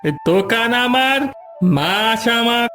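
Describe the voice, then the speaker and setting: A man's voice: extremely high pitch, slow, sharp tone, soft background music, exaggerated voice. A man voicing a greedy, domineering fish shop owner in a cartoon, curt and threatening. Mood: possessive, scheming. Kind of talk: cartoon character dialogue.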